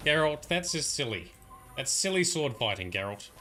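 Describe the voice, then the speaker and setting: A man's voice: fairly high pitch, sing-song, moderate pace, very noisy. A man giving casual let's play commentary, chatty, swearing and laughing at the game. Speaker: streamer. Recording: game music behind voice. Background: game music.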